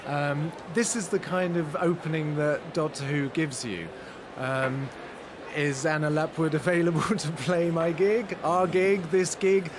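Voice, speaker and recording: A man's voice: on the high side, fairly even intonation, a little slow. A man being interviewed casually in a large concert hall, wry and self-deprecating in manner. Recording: background noise of a busy venue.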